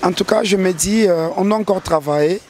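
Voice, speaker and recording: Earnest high-voiced gospel singer. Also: male voice, a little fast, darkish tone, a little hiss or hum. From DR Congo. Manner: earnest, hesitant with repeated restarts. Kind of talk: interview answers.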